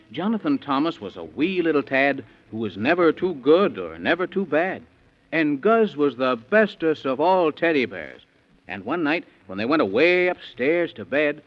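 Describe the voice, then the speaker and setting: Male narrator, swooping theatrical delivery, rhythmic rhymes, 1930s children's radio, dull old recording. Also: a little high. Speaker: storyteller, narrator. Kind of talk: rhyming 1930s radio bedtime storytelling.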